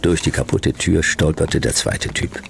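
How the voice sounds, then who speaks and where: A man playing a world-weary, sardonic private detective in a radio play, telling his story in terse, deadpan, clipped phrases. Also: rumbling low, unhurried, gravelly, a little hiss or hum, full character voice. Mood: deadpan, tense. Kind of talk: hard-boiled radio play narration and dialogue.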